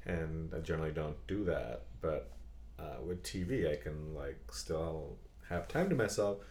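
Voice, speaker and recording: Low-voiced man in his thirties, podcast co-host, casual and reflective; roomy echo. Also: expressive, a little slow, darkish tone, a little hiss or hum. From Bangladesh and Saudi Arabia. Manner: thoughtful, restarts sentences, asks follow-up questions. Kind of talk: casual chat.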